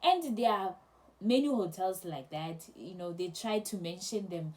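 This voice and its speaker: A young woman casually telling a travel story to camera, chatty and animated, with many restarts. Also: fairly low pitch, unhurried. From South Africa. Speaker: travel vlogger.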